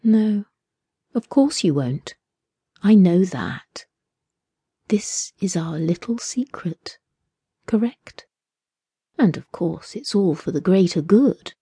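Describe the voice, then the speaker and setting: A woman whispering as a calm, menacing male captor. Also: lowish voice, slow pace, pauses often, slightly rough, dry recording, heavily acted.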